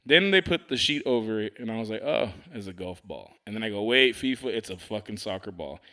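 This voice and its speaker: A man's voice: fairly low pitch, expressive, a little fast, pushed and bright. A man hosting a podcast, talking in a casual, slangy, profane way and telling stories with animation. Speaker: podcast host.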